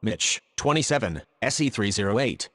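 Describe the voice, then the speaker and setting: A man's voice: on the low side, expressive, moderate pace, a bit bright. A man playing an obliging, friendly domestic AI in an audio drama, matter-of-fact and literal. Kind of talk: scripted audio drama dialogue.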